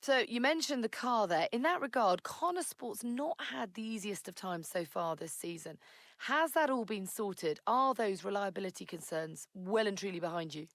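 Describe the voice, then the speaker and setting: Poised TV interviewer, dry close sound. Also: female voice, medium pitch, expressive, moderate pace, a bit bright, dry recording. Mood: poised, probing. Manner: polished, inquisitive. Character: poised, probing female motorsport TV interviewer. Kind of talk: broadcast interview in game cutscene.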